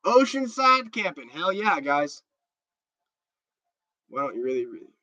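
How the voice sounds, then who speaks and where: A congested man rambles through a casual livestream and reads out his viewers' comments. His voice is very bright and edgy and sits slightly high. The audio is dry and dull, like a lo-fi stream. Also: sing-song, unhurried, no room sound. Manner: rambling and chatty, with repeated restarts. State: stuffed up. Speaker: livestream host.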